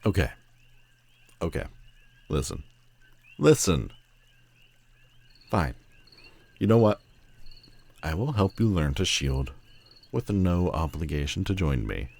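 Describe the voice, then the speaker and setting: An extremely deep-voiced man acting as a telepathic villain in an audio roleplay. He is proud and sardonic, with a haughty, theatrical delivery that leans hard on his words. A lonely streak sits under the arrogance. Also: very animated, vocal fry, a little mellow, full character voice. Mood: grudging, aloof. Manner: haughty, dramatic, drawn-out emphatic phrasing.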